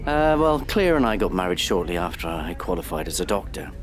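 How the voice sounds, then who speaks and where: An actor playing a reluctant, exasperated middle-class doctor in an audio play, speaking in a stiff, hesitant, polite way. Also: male voice, very animated, fairly quick. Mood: weary, resigned. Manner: hesitant, terse, weary. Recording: noisy, car rumble behind.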